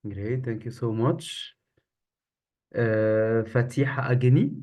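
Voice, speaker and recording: A man's voice: medium pitch, steady tone, takes it slowly, warm tone. A man moderating an online workshop, briefly and politely calling on participants over a video call.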